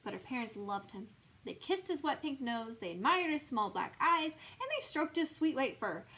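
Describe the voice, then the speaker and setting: A woman reading a picture book aloud at bedtime, playful and expressive, turning to the listener now and then. Her voice sits a bit high and rises and falls a great deal. The reading is animated from line to line. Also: normal speed, slightly rough. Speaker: storyteller.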